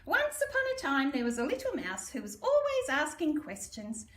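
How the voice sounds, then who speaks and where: A woman's voice: sits high, wildly swooping pitch, normal speed, fairly bright tone. Echoey aussie lady a bit far from the mic, sing-song storytime for little kids, affectionate and theatrical. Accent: Australian. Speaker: primary school story reader. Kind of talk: children's storybook read-aloud. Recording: roomy echo, distant microphone.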